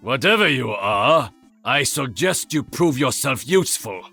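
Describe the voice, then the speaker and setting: An actor playing a proud, stern master archer in a video game, with a Japanese accent and a formal, haughty delivery. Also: male voice, medium pitch, very animated, unhurried, gravelly, sharp tone, slightly rough, faint music behind, heavily acted. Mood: dismissive, proud. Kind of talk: video game character voice lines.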